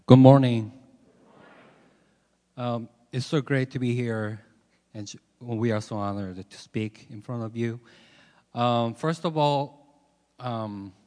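Male guest speaker, native Korean with Korean accent, unhurried halting testimony to a congregation, long room reverb. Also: medium pitch, mellow. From South Korea. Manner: halting, joking about himself. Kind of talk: personal testimony to a church congregation. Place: large church hall, over a PA. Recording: echoey hall, long reverb.

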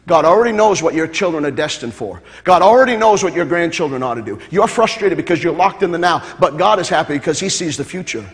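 High-voiced fervent preacher in a hall. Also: male voice, animated, quick pace, hardly any pauses. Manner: builds to loud fervent preaching with repetition; jokes with the congregation. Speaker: pastor. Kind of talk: sermon. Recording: long hall reverb.

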